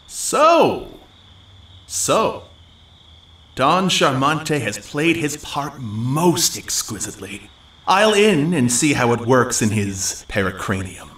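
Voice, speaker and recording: A man's voice: medium pitch, big pitch swings, takes it slowly, fairly bright tone. A man playing a sly, scheming manservant in an audio farce, speaking theatrical period English in a roomy, distant recording. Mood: sly satisfaction.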